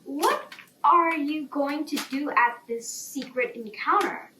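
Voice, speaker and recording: Woman as a curious little squirrel, high-pitched. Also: animated, slow pace, pushed and bright, exaggerated voice. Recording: roomy, distant, faint background noise.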